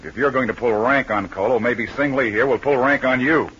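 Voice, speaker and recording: Raspy, forceful unit leader. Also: male voice, mid-range voice, brisk. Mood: defiant. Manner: firm, direct, sardonic. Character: tough, loyal American unit leader, firm and defiant. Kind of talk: 1940s radio adventure acting. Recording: muffled old radio recording.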